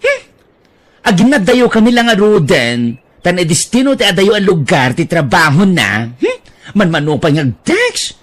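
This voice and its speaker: A woman playing an excitable, envious young friend in a radio drama, gushing and exclaiming with a Filipino accent. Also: fairly low pitch, moderate pace. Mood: complaining.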